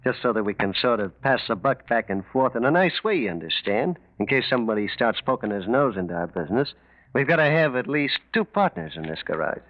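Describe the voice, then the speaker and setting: A male actor plays a small-time racketeer in a 1940s radio crime drama. He is sly and sure of himself, talking in glib street slang with a lively, swinging pitch. The sound is dull and lo-fi. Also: fairly quick, close to an everyday voice. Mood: smooth, coaxing. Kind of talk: 1940s radio mystery acting. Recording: muffled lo-fi 1940s radio recording.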